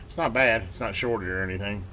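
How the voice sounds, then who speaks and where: A man giving casual, unscripted workbench narration as a hobbyist, folksy and colloquial, thinking aloud with halting pauses. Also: on the low side, sharp tone. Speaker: hobbyist host restoring and testing old radios. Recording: clear background noise, amateur home recording.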